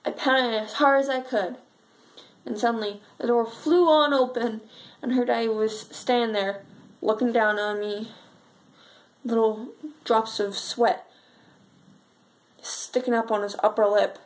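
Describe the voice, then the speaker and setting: A sad, frightened schoolgirl played by a woman tells her story in a fairly high voice. The delivery is an earnest amateur monologue, halting and full of pauses. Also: fairly even intonation, lightly acted, low-quality recording. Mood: shaken, fearful.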